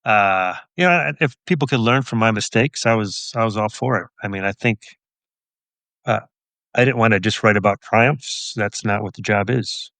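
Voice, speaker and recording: A man's voice: fairly low pitch, moderate pace, gravelly, a bit bright, no room sound. A middle-aged man, a police officer and author, answering casually as an interview guest in a thoughtful, wry, self-deprecating way.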